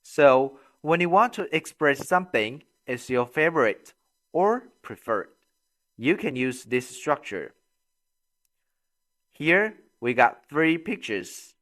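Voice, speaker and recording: Man, grammar teacher, animated intonation, unhurried, deliberate, instructional lesson delivery. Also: on the high side. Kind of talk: scripted language lesson, read-after-me drills.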